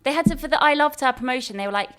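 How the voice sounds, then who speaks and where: A woman's voice: high-pitched, rapid-fire, fairly bright tone, a little hiss or hum. A woman in her thirties, talking at home in casual chat, giggly and self-deprecating, often trailing off mid-thought. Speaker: podcast host. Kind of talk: casual chat between friends. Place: home, baby asleep nearby.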